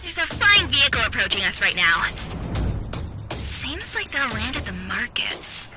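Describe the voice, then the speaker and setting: An actress playing a brisk, alert and wry female mission guide in a video game. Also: on the high side, big pitch swings. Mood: urgent. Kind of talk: video game cutscene acting. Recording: loud music behind the voice.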